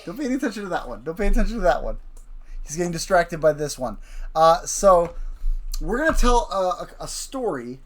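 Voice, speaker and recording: Woman host, deep voice, easygoing, guides a child's story with questions. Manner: prompting, questioning, recapping the child's ideas. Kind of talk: casual livestream storytelling.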